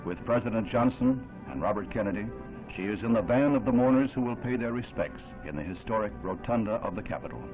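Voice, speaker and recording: Male American newsreel narrator, 1960s, grave and oratorical, low register, thin band-limited soundtrack. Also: lively intonation, narrow, tinny audio. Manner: grave, measured, oratorical delivery. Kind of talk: 1960s newsreel scripted narration, solemn and dramatic. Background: music.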